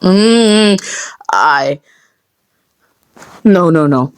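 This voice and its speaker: Woman, lower-pitched, dry close sound, casual behind-the-scenes chat, rambling, self-directed. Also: lively intonation, drawn-out words, clear, resonant tone, no echo. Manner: rambling, talks to herself. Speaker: creator dubbing a comic.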